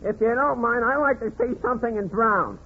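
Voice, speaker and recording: A man's voice: very high voice, a little fast, husky, exaggerated voice. A man playing a meek, henpecked little husband in 1940s radio comedy, hesitant and plaintive. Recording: noisy, muffled 1940s radio recording.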